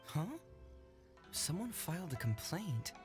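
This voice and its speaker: A clever young detective in a video game. He is articulate, confident and a little playful, with loud background music behind him. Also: a little high, big pitch swings, slow. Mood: puzzled. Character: young male detective, clever, confident, earnest about justice.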